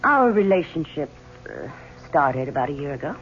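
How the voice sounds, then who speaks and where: A woman's voice: low voice, big pitch swings, normal speed. An actress playing the cool, sardonic mistress of a murdered rich man, answering with evasive ease. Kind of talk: 1970s radio drama acting. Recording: dull old radio recording with faint hiss.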